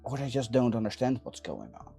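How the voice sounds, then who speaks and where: A man chatting casually while working on a build, thinking aloud in a halting way and repeating words. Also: medium pitch, wildly swooping pitch, creaky, warm tone, soft background music. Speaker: maker livestreaming a 3D printing build.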